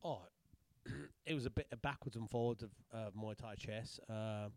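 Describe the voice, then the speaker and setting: A middle-aged man from Yorkshire with a Yorkshire accent, co-hosting a podcast in casual chat and telling stories with lively quoted voices. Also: on the low side, moderate pace, a little hiss or hum. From England, Yorkshire. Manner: animated storyteller, acts out quoted voices. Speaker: podcast co-host, fight promoter.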